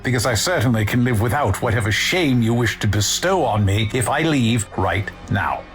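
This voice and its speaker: A man's voice: mid-range voice, expressive, moderate pace, natural voice. Man playing a haughty self-serving official, scornful, pompous, audio drama, faint music behind. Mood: haughty defiance. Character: cowardly, self-centered, haughty male official.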